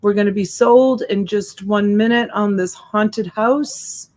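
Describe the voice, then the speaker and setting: A woman's voice: medium pitch, steady tone, a little slow, darkish tone. Chatty Boston woman running a live sale. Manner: chatty, greets viewers by name with endearments. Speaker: live-stream seller and host. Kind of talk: casual live-stream auction chat. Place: home room with shelves. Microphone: desk USB mic.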